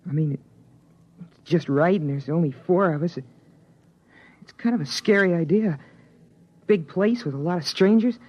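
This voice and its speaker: A man's voice: fairly high pitch, moderate pace, long pauses, natural voice. A man playing an earnest teenage boy. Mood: wistful. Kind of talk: 1950s radio drama acting. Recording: dull old radio broadcast recording.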